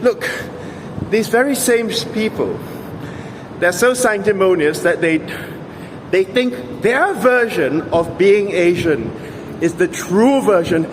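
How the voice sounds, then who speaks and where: Sarcastic high-voiced man outdoors. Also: lively intonation, takes it slowly, long pauses, a little hiss or hum, rough recording. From Singapore. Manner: emphatic, sarcastic, argumentative. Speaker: vlogger. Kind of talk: opinionated monologue to camera.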